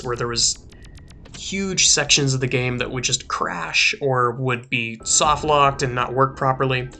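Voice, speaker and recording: Young man, let's-play host, rambly and self-interrupting, recovering throat, soft game music behind. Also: medium pitch. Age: young adult. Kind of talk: casual gameplay commentary. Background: faint game music.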